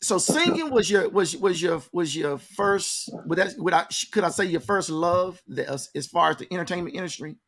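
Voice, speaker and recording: An American man with a slightly high voice works as an interview host. He talks casually and in a folksy manner, circling back and repeating phrases as he goes. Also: expressive, moderate pace, few pauses, a bit bright.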